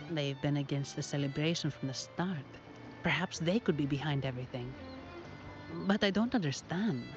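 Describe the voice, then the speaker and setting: A woman's voice: low voice, lo-fi sound. A woman voicing a composed, businesslike young bank agent in a video game, matter-of-fact in manner. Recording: band-limited game capture with loud music.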